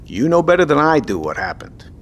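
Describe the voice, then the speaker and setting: An actor playing a decisive, gruff navy submarine captain in an audio drama, with commanding, clipped, profane delivery. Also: male voice, on the high side, big pitch swings, a little fast, slight background noise. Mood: calm, firm. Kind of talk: scripted audio drama acting.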